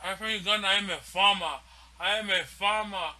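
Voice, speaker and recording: A man voicing a poor, defiant male goat farmer from Uganda, speaking in halting, repetitive and profane bursts as a comic improvised impression. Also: high voice, sharp tone.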